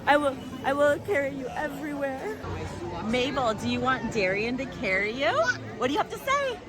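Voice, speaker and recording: A woman's voice: high-pitched, big pitch swings, moderate pace. A woman in casual, playful talk with small children, coaxing and encouraging them and repeating phrases for them to copy. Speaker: aunt teaching a toddler Italian phrases. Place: outdoors in a busy theme park. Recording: loud crowd and music behind. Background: music, crowd, park bustle.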